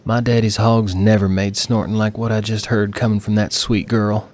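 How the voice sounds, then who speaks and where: Audiobook role: man playing a silver-haired country woman, folksy and God-fearing, voice lifted higher. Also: level tone, normal speed, darkish tone, close to an everyday voice. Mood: shaken, worried. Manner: folksy, rustic speech.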